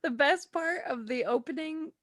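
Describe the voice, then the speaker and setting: A woman's voice: high-pitched, moderate pace, fairly bright tone, no room sound, clean studio sound. A woman co-hosting a casual, playful panel chat, often giggling through her sentences. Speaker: podcast co-host.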